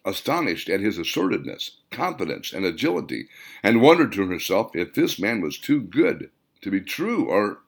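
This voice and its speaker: Expressive low-voiced man narrating a novel. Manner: dramatic, expressive storytelling. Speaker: author reading own novel. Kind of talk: scripted audiobook narration.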